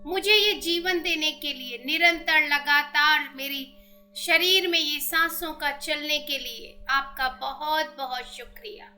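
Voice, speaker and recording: An Indian woman leading a guided meditation in a measured, devotional manner with long pauses. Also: sits high. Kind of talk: guided meditation, slow instructions and invocations. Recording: meditation music with singing bowls behind. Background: soft music and singing bowl tones.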